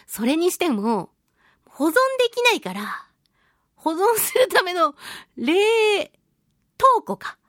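A woman voice actress hosting a chatty solo radio show, slightly nasal from a mild head cold, with an animated, playful and self-deprecating manner. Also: extremely high pitch, slow pace, pauses often, no echo, clean studio sound. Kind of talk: chatty solo radio talk with listener mail.

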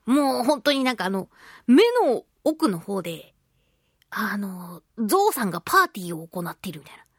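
A woman's voice: mid-range voice, sing-song, normal speed, husky, dry recording, clean studio sound. A woman voice actor hosting a casual solo radio talk show, chatting playfully and acting out sound effects.

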